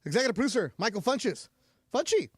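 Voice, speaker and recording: A man hosting a postgame radio call-in show who gets loud and high whenever he's fired up. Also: fast, husky, no echo, clean studio sound. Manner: excitable, jumps high in pitch when fired up. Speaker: radio sports show host.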